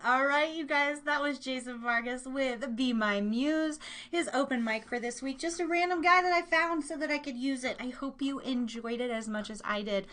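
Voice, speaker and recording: A high-voiced woman hosts a live podcast in an easygoing chat style. She rambles informally, thinking aloud as she works through her notes. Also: normal speed, pushed and bright. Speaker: podcast and live stream host. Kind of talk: casual live show chat.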